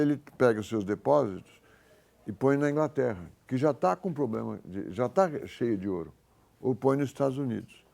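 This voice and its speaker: Brazilian professor lecturing in a halting, informal way. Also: male voice, medium pitch, gravelly, mellow. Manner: informal, halting, thinks aloud and corrects himself. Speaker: economics professor. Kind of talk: university lecture, explaining with worked examples.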